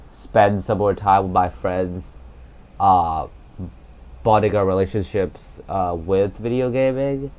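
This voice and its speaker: A very deep-voiced teenage boy, Cantonese his first language, rambles through a casual vlog with a glum, halting delivery full of "like" and "uh". The home recording sounds a little dull and muffled. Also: a little mellow. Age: teens. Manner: halting, many fillers and restarts, glum. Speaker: gaming YouTuber.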